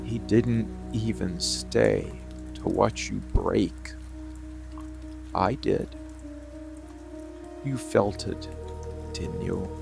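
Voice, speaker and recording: A man voicing a dark, seductive, protective monster in an intimate roleplay ASMR style, gravelly and deliberate, with long pauses. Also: on the low side, full character voice. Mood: dark, soothing menace. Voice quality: gravelly, creaky. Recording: rain and music behind the voice. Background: steady rain and music.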